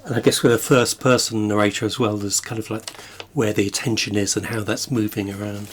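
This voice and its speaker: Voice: mid-range voice, steady tone, brisk, slight background noise. An interviewer in a conversational literary podcast, phrasing thoughts and questions tentatively.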